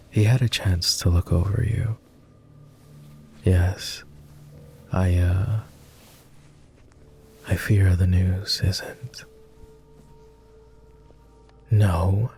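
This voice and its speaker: A man's voice: low-pitched, warm tone, exaggerated voice. A man voicing a devoted, world-weary immortal vampire companion in intimate roleplay ASMR, speaking tenderly and earnestly in formal phrasing. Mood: gentle, sorrowful. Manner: tender, earnest, hesitant, somewhat formal speech.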